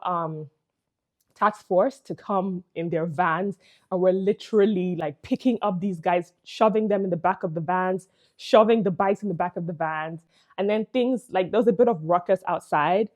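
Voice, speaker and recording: This is a young woman with a Nigerian English accent, telling her own story for her channel. Her voice sits a touch low for a woman, and she rambles easily with fillers and small laughs. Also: darkish tone. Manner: chatty, frequent "like" and "you know", laughs between phrases. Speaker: vlogger. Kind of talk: casual personal storytelling to camera.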